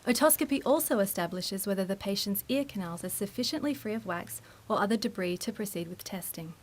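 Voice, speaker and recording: A woman reading scripted instructional narration in a formal, measured, explanatory way. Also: medium pitch, expressive.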